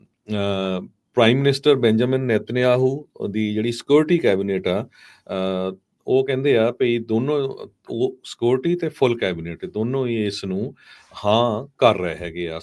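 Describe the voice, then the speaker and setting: Male news presenter, fairly low voice, live radio report, halting phrase-by-phrase delivery, English terms mixed in. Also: a little mellow.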